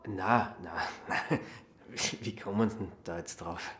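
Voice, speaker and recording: A man acting as an awkward, quietly nosy sleuth with an Austrian accent and hesitant, sheepish speech in a radio drama. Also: lowish voice, fairly even intonation, a little slow, noisy background, lightly acted. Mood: feigned innocence. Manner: hesitant, sheepish, falsely innocent.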